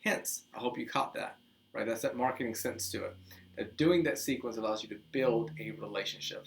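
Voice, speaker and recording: A man in his thirties, from the Dominican Republic, hosting a casual teaching video, talking with lively hand gestures in a small office. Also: medium pitch. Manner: animated, gestures a lot, chatty with restarts. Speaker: host. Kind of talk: casual teaching monologue to camera. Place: small office with couch, indoors.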